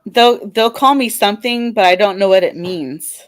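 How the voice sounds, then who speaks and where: A woman's voice: mid-range voice, moderate pace, dry recording. Hesitant Californian woman caller. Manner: hesitant, many restarts and fillers. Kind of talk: live call-in debate.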